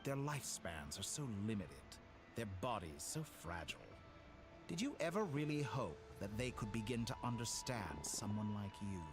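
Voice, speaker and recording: A man voicing a smug, silky game villain with godlike power, theatrical and condescending in his mock-polite, deliberate delivery. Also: on the low side, very animated. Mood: sinister, coaxing. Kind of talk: scripted video game dialogue. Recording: game music behind the voice.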